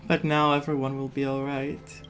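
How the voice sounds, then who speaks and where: A woman's voice: a bass voice, flat delivery, normal speed, music in the background. A woman gaming streamer giving casual commentary on a playthrough.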